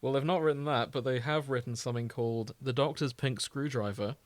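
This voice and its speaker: A man's voice: mid-range voice, a little fast, no echo. A man co-hosting a podcast, chatting casually in a jokey, wry way. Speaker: podcast co-host. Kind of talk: casual chat.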